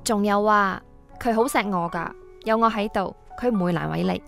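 A woman's voice: mid-range voice, moderate pace, vocal fry, natural voice. An actress playing a persuasive young woman, a tycoon's breezy daughter, in a radio drama, mixing in English words. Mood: coaxing. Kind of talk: radio drama acting, casual dialogue. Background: background music.

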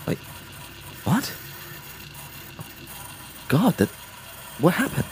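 An actor playing a reflective, concerned young man, telling his story in a measured, understated way in an audio drama. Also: high-pitched, wildly swooping pitch, a little mellow, slight background noise, exaggerated voice. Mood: concerned, hesitant. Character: reflective, concerned young boyfriend, a fitness fanatic. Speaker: narrator and lead character. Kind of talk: first-person audio drama narration and dialogue.

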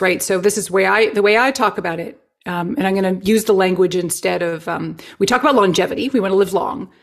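A woman in her forties, a well-being researcher talking as a guest over a video call on a headset, explaining ideas in an animated, expressive way. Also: lowish voice. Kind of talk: enthusiastic conversational interview. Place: home living room.

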